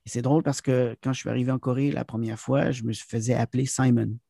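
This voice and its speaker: A man's voice: medium pitch, steady tone, fast, creaky, warm tone, no room sound, studio quality. A man from Quebec with a Québécois French accent, a guest in an interview, talking casually and hesitantly with many fillers and restarts. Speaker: guest, trainer and author.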